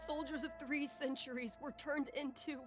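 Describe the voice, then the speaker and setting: A woman acting a shaken, grieving battle survivor in a video game, halting and tearful. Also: sits high, steady tone, close to an everyday voice. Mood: shaken, sorrowful. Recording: quiet game audio, faint music.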